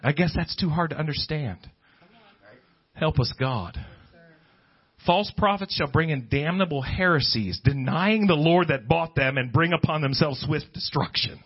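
A man preaching a conversational, off-the-cuff sermon, emphatic and full of rhetorical questions and quotations. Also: on the high side. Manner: emphatic, rhetorical questions, quotes scripture and imagined objectors. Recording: dull, lo-fi sermon recording.

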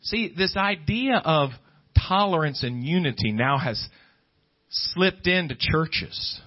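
A man's voice: fairly high pitch, lively intonation, slow, lo-fi sound. A man preaching a Pentecostal-style sermon to a congregation, speaking emphatically, repeating phrases and prompting listeners for agreement.

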